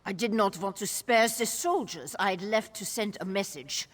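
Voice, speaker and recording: A woman's voice: mid-range voice, moderate pace, long reverb tail, natural voice. An actress playing a stern, determined female guard captain in a video game, with a commanding, clipped manner. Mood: grim. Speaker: soldier on guard. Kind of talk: video game character acting.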